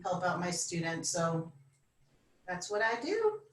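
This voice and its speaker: A woman's voice: on the low side, wildly swooping pitch, slow. A woman from southern California, a teacher, talking casually about herself as a podcast guest. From southern California, United States. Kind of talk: casual self-introduction. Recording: echoey room, distant mic.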